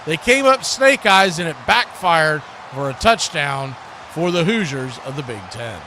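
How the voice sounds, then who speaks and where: High-voiced man calling football over noise. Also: big pitch swings, measured pace. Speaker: sports play-by-play host. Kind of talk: live football commentary and fan chat. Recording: clearly noisy live stream.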